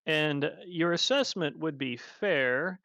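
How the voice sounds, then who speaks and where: A man's voice: fairly high pitch, lively intonation, slow, pushed and bright, dry recording, exaggerated voice. A man voicing a polite, reasonable ogre. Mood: earnest, courteous. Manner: courteous, formal, reasoning. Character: polite large male ogre warrior, frank.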